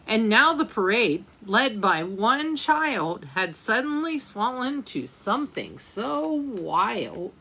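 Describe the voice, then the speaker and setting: A woman's voice: fairly high pitch, big pitch swings, slow pace, hardly any pauses, pushed and bright, slightly rough, poor audio. A woman reading a picture book aloud for children's storytime, speaking to young listeners as she goes. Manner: addresses young listeners with questions and asides. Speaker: librarian storytime reader.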